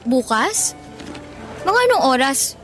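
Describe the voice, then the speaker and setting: Bright, high-pitched bubbly Filipina student. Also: female voice, sing-song, slow pace, faint music behind, full character voice. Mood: upbeat. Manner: bubbly, teasing. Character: cheerful, playful young female student being courted. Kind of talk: Filipino radio drama acting.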